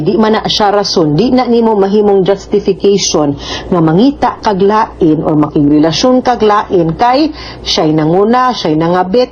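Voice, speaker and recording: A woman's voice: medium pitch, darkish tone. A woman with a Cebuano Filipino accent gives conversational legal advice as a radio host, speaking frankly and admonishing with frequent tag questions. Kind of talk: conversational legal advice to a letter sender and listeners. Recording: muffled audio with clear background noise.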